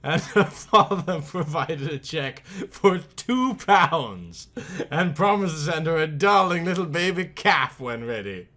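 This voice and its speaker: Male storybook narrator, highish and very bright, dramatic delivery that stretches key words and swells and falls. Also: lively intonation, takes it slowly. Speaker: reader of a storybook. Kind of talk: theatrical comic audiobook narration.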